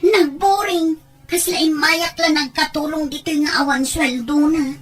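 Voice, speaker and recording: Woman acting a plaintive, very high-voiced young wife. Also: fairly even intonation, measured pace. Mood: regretful, bored. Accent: Filipino. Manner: plaintive, complaining. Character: unhappy, homesick young wife living abroad. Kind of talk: Ilocano audio drama acting.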